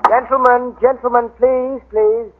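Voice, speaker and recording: An actor playing a flustered professor in a 1940s radio drama. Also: male voice, very high voice, level tone, unhurried. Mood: flustered, pleading. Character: flustered professor calling his class to order. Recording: muffled old radio recording with music.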